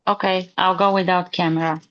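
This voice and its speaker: A woman's voice: fairly low pitch, level tone, a bit bright. A woman from Bulgaria introducing herself as an artist on a video call, hesitant and full of fillers. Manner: hesitant, many fillers, slow. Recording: dull lo-fi video call audio.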